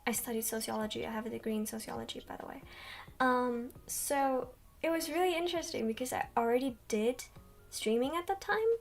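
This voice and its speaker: Soft-spoken high-voiced young woman. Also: vocal fry, faint music behind. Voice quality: soft, quiet voice. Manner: slow, rambling, many ums. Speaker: streamer. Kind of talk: soft-spoken livestream chat. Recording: distant from mic.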